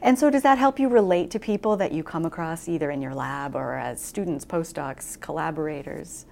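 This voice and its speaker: A woman's voice: low-pitched, fairly quick, creaky, darkish tone. A woman host interviewing a guest, asking careful questions and listening with frequent "mm-hmm"s. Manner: attentive, frequent "mm-hmm" backchannels.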